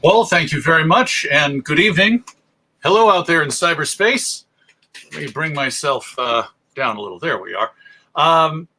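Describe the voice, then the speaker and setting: An American man giving an informal public lecture online, speaking in a measured, deliberate way with pauses for emphasis. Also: medium pitch, bright, forceful tone. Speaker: presenter, radio show host. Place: remote online presentation.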